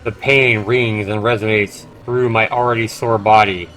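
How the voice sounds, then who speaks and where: A man's voice: lowish voice, steady tone, moderate pace, a bit bright, rough recording. A man livestreaming a visual novel, reading the text aloud dramatically and reacting expressively as he comments. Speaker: game streamer. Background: game music.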